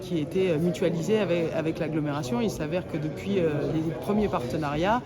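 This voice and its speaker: A woman mayor giving formal interview answers, halting and repeating words as she goes. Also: lowish voice. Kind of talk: measured interview answers, official register. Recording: loud crowd chatter around the voice. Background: busy crowd chatter.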